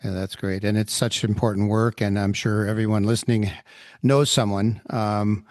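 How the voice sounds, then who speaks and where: A man's voice: on the low side, fairly even intonation, normal speed, no echo, studio quality. A man hosting a podcast in an easygoing way, talking with frequent fillers. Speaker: podcast host.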